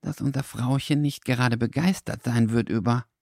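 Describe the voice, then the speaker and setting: A man's voice: mid-range voice, steady tone, normal speed, gravelly, darkish tone, no room sound, studio quality, voice pushed up, lightly acted. A man voicing an anxious, hesitant male dog in a storybook, murmuring his words.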